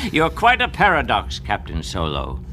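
An elderly sage for radio drama, with a lively, swooping melody to formal speech. He is kindly yet a little condescending. A faint bed of ambience sits behind the voice. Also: medium pitch, a little slow, noisy background. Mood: amused, condescending. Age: older. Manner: formal, presentational delivery. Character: kindly, condescending old mystic mentor man.

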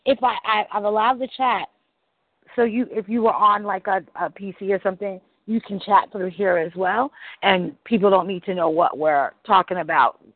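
A husky host chats loosely and excitably, full of fillers and swearing. The sound is thin and phone-like, with no room around it. The voice sits at a middle pitch. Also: moderate pace, no room sound. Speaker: podcast host. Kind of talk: casual call chat. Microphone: call audio. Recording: tinny phone-like call audio, dry.

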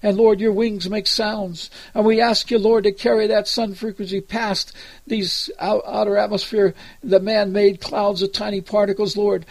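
A man's voice: high-pitched, level tone, moderate pace, a little mellow, dry recording. An American man praying aloud as a preacher, earnest and pleading, improvising as he goes and repeatedly addressing God. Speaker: preacher leading prayer. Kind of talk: extemporaneous spoken prayer.

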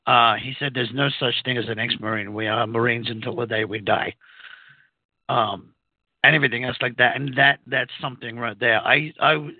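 A man's voice: medium pitch, no echo. Radio co-host, male, raised abroad; hesitant, filler-heavy chat over a narrow dry line. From outside the US. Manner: halting, many fillers and restarts. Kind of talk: casual radio talk. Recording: phone-like narrow sound, dry.

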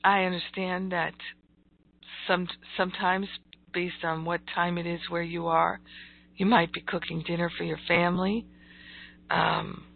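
Croaky woman teaching slowly over a phone-like line. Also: fairly low pitch. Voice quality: croaky, gravelly. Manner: deliberate, emphatic, repeats phrases. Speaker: spiritual class teacher. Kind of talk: slow motivational spiritual teaching. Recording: thin, phone-like audio.

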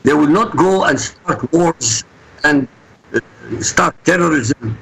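Husky man, emphatic. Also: on the high side, lively intonation, slow, fairly bright tone, poor audio. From Iraq. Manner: emphatic, insistent. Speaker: guest commentator.

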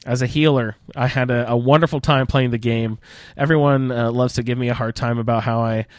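A man hosts a casual gaming podcast at a middling pitch. His phrasing is halting, with pauses to search for words. The sound is dry and close to the mic. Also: fairly even intonation, dry recording. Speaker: podcast host. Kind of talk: casual roundtable chat about a video game.

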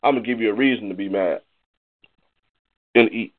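A man's voice: on the low side, moderate pace. A man performing spoken word poetry in an emphatic, confrontational manner full of rhetorical questions. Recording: thin, phone-like band-limited sound.

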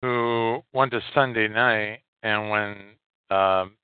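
A man hosting a podcast, giving casual news commentary in a halting, hesitant way with pauses. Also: fairly low pitch, fairly even intonation, clean tone, no echo. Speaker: podcast host. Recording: narrow phone-like audio, dry.

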